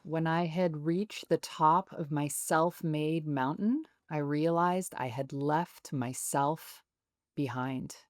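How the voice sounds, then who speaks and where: A woman in her thirties with a notably low, even voice, speaking slowly and calmly. Her delivery is soothing and measured. The sound is dry and close, with no room around it. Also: dry recording. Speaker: podcast guest, author. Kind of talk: reflective interview talk.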